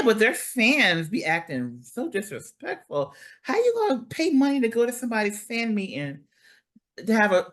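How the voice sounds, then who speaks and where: A woman in casual chat, talking bluntly and swearing freely. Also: medium pitch. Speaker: podcast guest.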